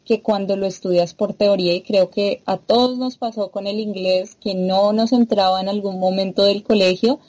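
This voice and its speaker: Latin american woman guest, soft mellow voice, talks it through slowly w/ lots of eh's. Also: mid-range voice, fairly even intonation. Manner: explanatory, thoughtful with fillers. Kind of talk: interview conversation.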